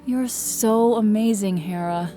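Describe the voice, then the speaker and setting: Woman playing a proud hurt goddess, slow earnest talk, mid pitch, music under it, audio drama. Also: warm tone, natural voice. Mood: earnest, grateful.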